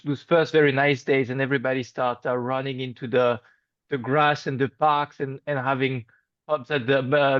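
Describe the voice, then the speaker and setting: A man's voice: mid-range voice, dry recording. A man with a French accent, a guest in a casual video call chat, speaking hesitantly with frequent fillers and restarts in a friendly way. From France. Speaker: interview guest. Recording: dull lo-fi video call audio.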